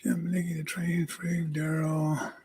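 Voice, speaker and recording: A man with a raspy voice hosting a casual livestream from home, greeting viewers by name and chatting. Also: a little high, completely flat delivery, slow, a little mellow. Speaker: livestream host.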